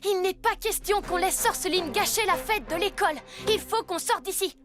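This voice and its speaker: A very high-pitched little boy superhero from a French cartoon dub. He is an earnest, self-conscious young leader who speaks emphatically, with loud music behind. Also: normal speed. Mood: determined.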